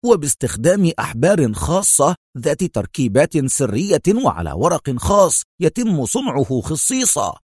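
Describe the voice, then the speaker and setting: Casting: man as authoritative male consul in an audio thriller, sceptical, firm, pitched up, very animated. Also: slightly rough, dry recording, clean studio sound. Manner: firm, incredulous, emphatic.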